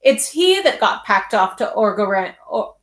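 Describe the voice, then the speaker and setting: A woman reading aloud and voicing an unhinged, arrogant male king who rants in a shrill voice and snarls out insults. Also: big pitch swings, normal speed, roomy sound, natural voice. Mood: ranting. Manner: ranting, snarling, spitting out insults.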